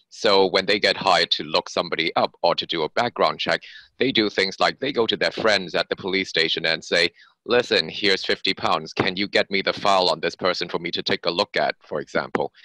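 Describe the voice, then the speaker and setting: A man's voice: sits low, bright, forceful tone, no echo, poor audio. A man speaking as an author and interview guest, explaining things in a conversational way.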